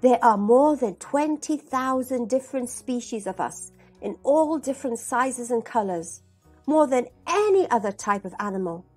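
A woman voicing a proud, patient flying ant in a storybook, explaining in a measured way. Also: fairly high pitch, expressive, darkish tone, slightly rough, faint music behind. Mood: proud, determined.

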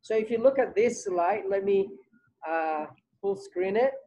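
A man's voice: sits high, lively intonation, a little slow, dark tone. A young adult man teaching an English class over a video call from home, talking loosely with many fillers and repeats. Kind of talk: casual online class talk.

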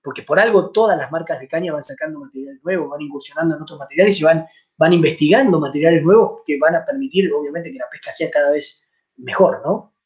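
A man's voice: a little high, fast. Argentine man, 40s, stream host, rambling filler-heavy chat, muffled lo-fi webcam sound. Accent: Argentine Spanish. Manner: chatty, many fillers and restarts. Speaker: fly fishing channel host. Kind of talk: live stream Q&A. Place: home room, indoors. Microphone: webcam at desk.

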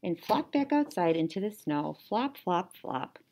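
A young woman reading a children's story like a teacher, animated, with playful sound words. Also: lowish voice, measured pace. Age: young adult. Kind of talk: children's story read-aloud. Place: small indoor classroom or office.

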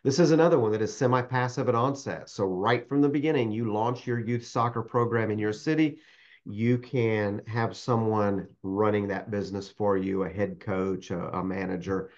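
A man's voice: lowish voice, normal speed, highs cut off. A man presenting a franchise webinar over a video call, enthusiastic and fond of repeating his praise. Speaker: webinar host, franchise consultant. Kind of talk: upbeat sales presentation.

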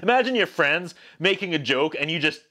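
A man in his forties giving a sarcastic, animated comedic review rant to camera, sounding exasperated. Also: fairly high pitch, fairly quick. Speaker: video reviewer, host. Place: small indoor room.

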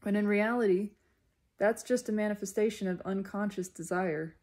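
A woman giving a reflective spiritual monologue in a deliberate manner, pausing mid-sentence and speaking in short phrases. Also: medium pitch, steady tone, darkish tone. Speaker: spiritual self-reflection vlogger.

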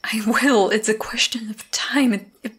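A woman playing a young, tormented, lovesick vampire in an ASMR roleplay, breathy and often whispering, halting and intense. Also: a little high, steady tone, fairly bright tone, full character voice. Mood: anguished, intense. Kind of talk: ASMR roleplay, dramatic monologue.

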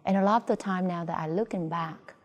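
A woman with a Vietnamese accent giving reflective interview answers as an artist, thoughtful and halting. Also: on the low side. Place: large indoor museum gallery. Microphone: lapel. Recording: reverberant gallery room.

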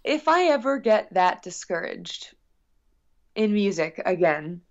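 A woman's voice: medium pitch, very animated, slow pace. Woman musician chatting, slightly dull audio. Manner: long-winded, rambling and earnest. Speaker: guest musician. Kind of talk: casual interview chat.

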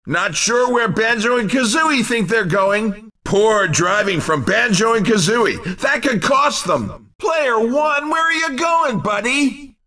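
Casting: male game race announcer, bright forceful high voice, theatrical and jokey, drawn-out delivery. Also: far from the mic. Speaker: race commentator in a video game. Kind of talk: excited cartoon racing game commentary.

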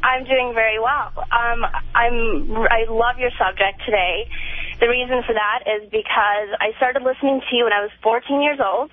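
A woman's voice: a little high, normal speed, dry recording. A woman in her early twenties calling a radio show and chatting casually by phone. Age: early 20s. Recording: tinny phone line on radio.

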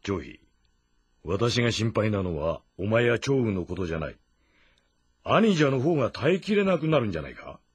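Casting: stern, deliberate warrior general for a historical game drama; low, clean and lively in inflection. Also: male voice, husky, no room sound. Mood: measured, sober. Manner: deliberate, stern, martial, old-fashioned diction.

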